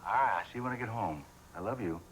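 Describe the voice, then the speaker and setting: A man's voice: fairly low pitch, quick pace, slight background noise, lightly acted, poor audio. An actor playing a proud, domineering father in a television soap opera, with a formal, clipped, commanding manner. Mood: relieved, firm.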